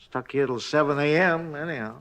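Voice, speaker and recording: An actor playing a chatty, folksy night clerk at a hotel, rambling in rustic grammar in a 1950s radio drama. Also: male voice, on the high side, a little fast, fairly bright tone.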